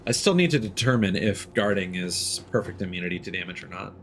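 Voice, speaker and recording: A man with a fairly low voice gives casual, off-the-cuff gameplay commentary. He muses haltingly as he plays, thinking aloud. Clear background noise from the game runs under his voice. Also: expressive. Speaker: video game live streamer. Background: video game ambience.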